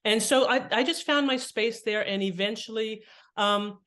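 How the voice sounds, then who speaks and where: A middle-aged American woman speaking as an interview guest, conversational and reflective, often pausing to rephrase as she explains. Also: a little high, fairly bright tone. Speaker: podcast guest, author and editor.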